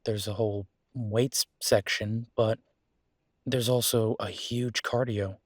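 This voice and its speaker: A man's voice: fairly low pitch, no echo, studio quality. A man playing a friendly, playfully vain werewolf boyfriend in a casual roleplay audio, talking in an easygoing, teasing, conversational way.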